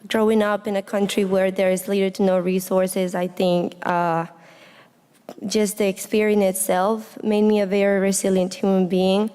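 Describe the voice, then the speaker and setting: Young female student speaker, mid-pitched, earnest personal talk, recorded in a reverberant hall. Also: level tone, moderate pace, dark tone, smooth. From Honduras. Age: young adult. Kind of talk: personal reflective speech to an audience. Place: indoor hall, speaking to an audience. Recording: echoey hall with long reverb.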